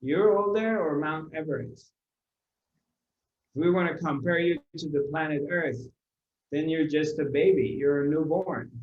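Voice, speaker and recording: A man delivering a spiritual talk to a seated audience, speaking very slowly with a slightly raised pitch. He lingers on his phrases, repeats them and keeps posing rhetorical questions. The recording is roomy and a little dull, with the echo of the room around him. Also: slight background noise. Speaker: spiritual teacher. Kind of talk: spiritual discourse to a live audience.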